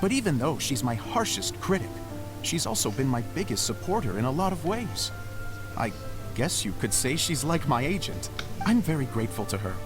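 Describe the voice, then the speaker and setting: An actor voicing an earnest male theater director in a game, speaking in a gently theatrical storytelling manner. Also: mid-range voice, sing-song, moderate pace, lightly acted. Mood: proud. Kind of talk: game voice acting. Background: game music.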